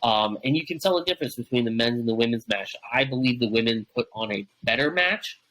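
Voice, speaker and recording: Bright-voiced rambling young podcast host. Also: male voice, mid-range voice, lively intonation, fast talker, poor audio. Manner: rambling, many fillers and restarts. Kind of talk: casual wrestling podcast chat.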